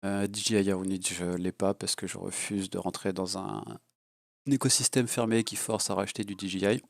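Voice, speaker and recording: A man's voice: low-pitched, steady tone, fairly quick, vocal fry, no room sound. A man with a cold, rambling bluntly and crudely through a casual drone gear review, with lots of fillers. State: has a cold. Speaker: FPV drone hobbyist reviewer. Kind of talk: casual unscripted product review. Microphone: low-level home mic.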